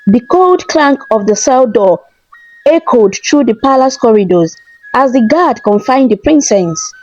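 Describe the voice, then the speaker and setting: A woman's voice: a little high, animated, a little slow, a little mellow, lo-fi sound. A woman narrator reading a scripted folktale in a storybook style.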